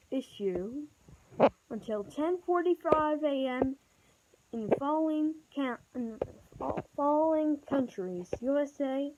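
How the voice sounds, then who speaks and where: A woman reading a homemade mock emergency broadcast announcement in a halting, stumbling delivery. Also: high voice. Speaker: vlogger acting as emergency alert announcer. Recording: dull, muffled home recording with noise.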